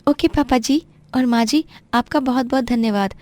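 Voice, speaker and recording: A woman's voice: sits high, normal speed, a little mellow, dry recording, natural voice. A woman playing an earnest, outspoken young rich daughter who mixes English into her Hindi in a cartoon tale. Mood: grateful, delighted. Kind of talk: animated moral-story dramatisation.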